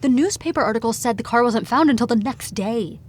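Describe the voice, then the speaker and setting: A woman's voice: a little high, animated, slight background noise, close to an everyday voice. Actress as anxious, grieving young woman. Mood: urgent, intent. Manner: halting, sighing, incredulous. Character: anxious, grieving young woman, defensive about her dead father. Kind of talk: audio drama acting.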